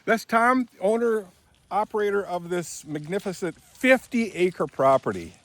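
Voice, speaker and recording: A man's voice: high voice, expressive, measured pace, a bit bright. Rambling man in his seventies, chatting outdoors. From Michigan, USA. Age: 70s. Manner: chatty, wandering, corrects himself often. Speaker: vlogger. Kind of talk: rambling casual vlog chat. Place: outdoors on rural land.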